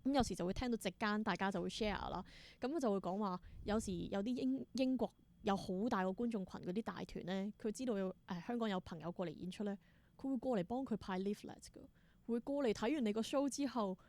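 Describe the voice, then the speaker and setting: A woman with a Hong Kong Cantonese accent, telling stories casually as an interview guest, expressive and mixing in English words. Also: medium pitch, quick pace. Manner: expressive, exclaims in wonder, mixes in English words. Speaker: interview guest, theatre performer.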